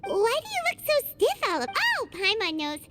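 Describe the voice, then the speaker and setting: Super high squeaky little fairy girl from a video game, nosy and excitable, really bouncy. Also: moderate pace, over loud music, full character voice. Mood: exasperated. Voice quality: squeaky, childlike. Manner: exclamatory, cheeky, refers to self in third person. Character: tiny chirpy childlike female fairy companion, excitable and nosy.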